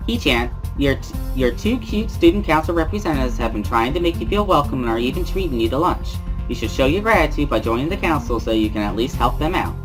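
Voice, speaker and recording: A man's voice: medium pitch, animated, fast talker, hardly any pauses, over music, pitched above the performer's own voice, lightly acted, low-quality recording. A man voicing a bubbly, pushy teenage schoolgirl in an amateur visual novel reading, with a sing-song, coaxing manner. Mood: coaxing, cheerful.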